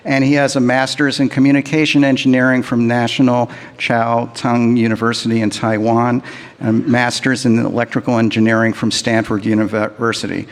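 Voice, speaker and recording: A man at an average pitch reads a formal speaker introduction at a steady pace, with a few small fillers. His voice carries the long reverb of a large hall. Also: normal speed. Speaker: conference emcee.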